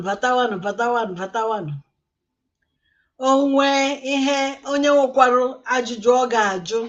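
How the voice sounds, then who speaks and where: A Nigerian woman with an Igbo accent hosting a live stream, unhurried and apologetic, coaxing viewers to join her. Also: fairly high pitch, dull audio. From Igbo land, southeastern Nigeria. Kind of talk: live-stream chat, mixing Igbo and English.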